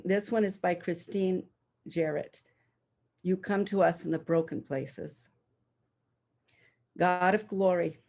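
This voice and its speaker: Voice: mid-range voice, creaky, dark tone. A person reading a prayer poem aloud in a worship service over a video call from home. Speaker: worship reader.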